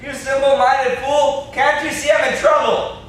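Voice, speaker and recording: Young guy acting indignant country captive in a stage play, high voice, complains and threatens, distant camera sound. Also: flat delivery, a little slow, a bit bright. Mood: desperate, threatening. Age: young adult. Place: classroom-like room, indoors. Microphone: far camera. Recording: echoey room, distant camera mic, faint noise.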